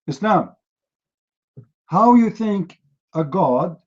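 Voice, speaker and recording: A man's voice: high voice, animated, extremely slow, darkish tone. A man whose first language is Arabic, hosting a heated online debate, combative and mocking, pressing his opponent again and again. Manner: combative, mocking, repeats demands. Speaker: debate host. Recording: dull lo-fi stream audio.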